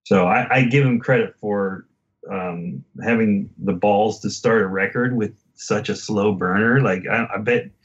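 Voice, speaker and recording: A man with a fairly low voice talks casually as a podcast guest about an album. He halts and rephrases as he works toward his point. The recording is a little dull and lo-fi. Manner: halting, circling back to rephrase.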